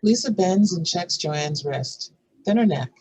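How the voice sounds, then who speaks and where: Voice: medium pitch, animated, unhurried, darkish tone, husky, lo-fi sound. A narrator reading script stage directions in a scripted narration style. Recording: faint background noise.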